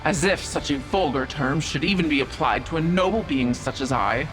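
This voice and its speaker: A woman playing a proud, cunning otherworldly female stranger in an audio drama, speaking in a measured, formal, dryly sarcastic manner. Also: deep voice, expressive, poor audio. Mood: haughty, vengeful. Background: prominent music.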